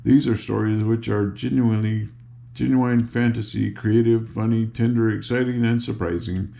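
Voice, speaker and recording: An older man reading a children's book aloud in an unhurried, informal, grandfatherly way, with a gravelly voice and casual asides. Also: fairly low pitch, steady tone, warm tone, highs cut off. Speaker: storybook reader and host.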